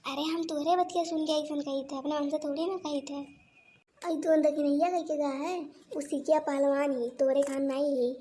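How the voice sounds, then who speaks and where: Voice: high voice, a little mellow, close to an everyday voice. A performer with an Awadhi accent voicing a grumbling, sarcastic older village mother-in-law in a comedy cartoon. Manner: nagging, sarcastic. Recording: noisy lo-fi cartoon soundtrack.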